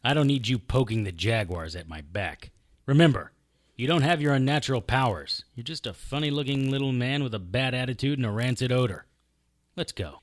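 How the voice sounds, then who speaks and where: A man's voice: mid-range voice, lightly acted. An actor playing a wry, impulsive, tough detective who narrates his own story in an audio drama. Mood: firm, wry. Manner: wry, hard-boiled. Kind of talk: first-person audio drama narration and acting.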